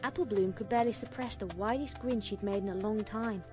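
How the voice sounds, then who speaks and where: A woman's voice: mid-range voice, a little fast, loud music behind the voice. A woman reading a scripted story as a dramatic fan fiction narrator.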